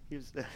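An actor playing a starving, trapped male survivor in an audio horror drama, desperate and darkly joking, talking in a halting, stammering way between murmurs and strained yells. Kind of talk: live audio horror drama, recorded diary monologue. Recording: clearly noisy live stage recording.

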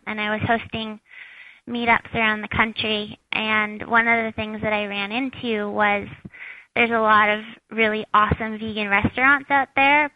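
A woman with a squeaky high voice, a shop owner chatting as an interview guest, with lots of fillers. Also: no echo, narrow, tinny audio. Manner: chatty, many fillers, laughs at herself. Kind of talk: casual interview answers.

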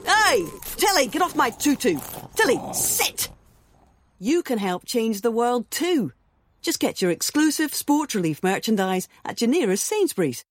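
A woman presenting a scripted comic ad as mock race commentary, with a lively commentator's delivery. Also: fairly high pitch, measured pace, dry recording. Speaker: sports presenter in an ad.

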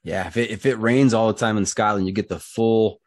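A man's voice: lowish voice, fairly even intonation, fairly quick, a little mellow, dry recording, clean studio sound. A man hosting a podcast, asking questions in a joking, easygoing way. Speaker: podcast host. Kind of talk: casual interview chat. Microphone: studio microphone.

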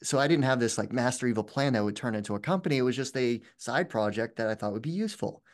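A man's voice: mid-range voice, quick pace. A man hosting a podcast, asking questions in a chatty, loose way, with fillers like "like" and "you know". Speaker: podcast host. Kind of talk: casual interview conversation.

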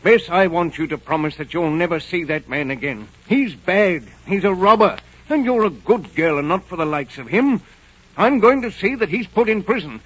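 A man's voice: sits high, expressive, normal speed, breathy edge. An actor playing a stern but loving older innkeeper father, earnest and firm, in 1940s radio drama style. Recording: muffled, noisy 1940s radio recording.

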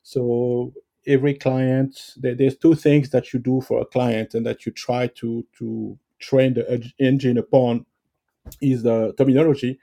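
A man with a French accent answering interview questions, pausing often with fillers and restarts. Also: medium pitch, little pitch movement, creaky, warm tone. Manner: hesitant, many fillers and restarts. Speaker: guest expert.

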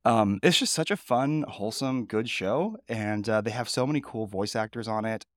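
A man in his thirties chats casually on a podcast, rambling a little with plenty of fillers. His voice sits low and sounds groggy from the early morning. The sound is dry and close. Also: normal speed, no room sound. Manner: relaxed, rambling, chatty with fillers. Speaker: podcast co-host. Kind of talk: casual chat.

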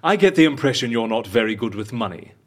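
A man plays a suave fixer in an audio drama, all business and wry theatricality. His voice is husky and swoops widely in pitch. He speaks articulately and persuasively, like someone selling a scheme. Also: lively intonation, fast, close to an everyday voice. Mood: genial persuasion. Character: suave, businesslike agency fixer who stages fake deaths, wry and theatrical.

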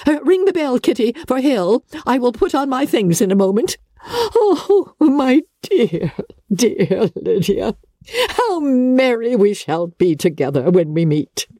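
A woman voicing a giddy, excitable middle-aged mother in a classic-novel audiobook, gushing with fussy, fluttery exclamations. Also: on the high side, takes it slowly, dry recording, clean studio sound, voice pushed up, full character voice. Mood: overjoyed, gushing.

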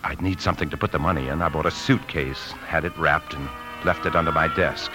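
An actor playing an outwardly calm but secretly anxious bank man, with tense, clipped delivery in 1950s radio drama style. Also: rumbling low, a little fast, over loud music. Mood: grave, confiding. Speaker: lead actor and narrator. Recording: vintage 1950s radio broadcast.

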